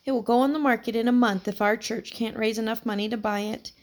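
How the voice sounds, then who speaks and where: Brisk boy, excited, voice jumping high, read by a woman. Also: natural voice. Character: excitable, practical young boy.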